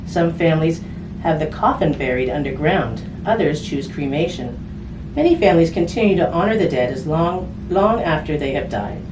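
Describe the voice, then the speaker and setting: A woman's voice: deep voice, animated, normal speed. A woman reading a picture book aloud to children, addressing them directly and explaining things simply. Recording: distant mic, roomy echo, clear background noise.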